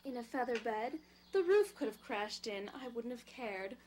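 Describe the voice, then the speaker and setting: A woman's voice: a little high, lively intonation, normal speed, a little mellow, lightly acted. A woman playing a teasing, easygoing young houseguest in an audio drama, with a playful, conversational manner. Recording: clear background noise.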